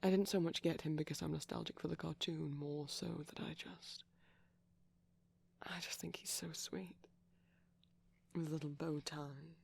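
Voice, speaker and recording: A man talks in a hushed ASMR murmur that often drops to a whisper. His voice is breathy and husky with plenty of creak. He sounds gentle and shy, rambling hesitantly close to the mic. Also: a little high, steady tone, moderate pace, pauses often. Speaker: ASMR performer. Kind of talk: soft-spoken ASMR roleplay ramble.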